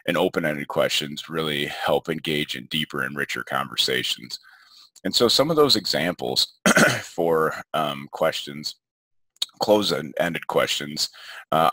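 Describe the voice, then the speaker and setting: A man presenting an informal educational webinar over slides as a health educator, in a halting way. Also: a bass voice, pushed and bright, no room sound.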